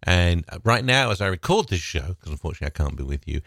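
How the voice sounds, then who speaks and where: A male radio DJ with a very low voice that is deeper than usual from allergies. He talks in a chatty, joking way with a lot of rise and fall, and the sound is close and dry. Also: big pitch swings, quick pace, fairly bright tone, dry recording. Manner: chatty, self-joking asides. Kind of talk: casual pre-recorded radio links.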